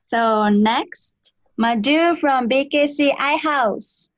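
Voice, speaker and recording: Slow-talking young woman hosting. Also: sits high, no room sound. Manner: frequent soft backchannel murmurs and laughs. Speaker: facilitator of a student livestream. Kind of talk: hosting a panel talk in English.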